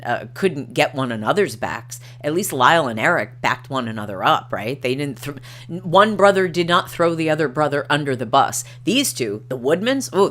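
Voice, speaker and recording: Low-voiced woman, chatty livestream host. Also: a bit bright. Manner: chatty, digressive, wry asides. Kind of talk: casual livestream chat with read-aloud articles and commentary.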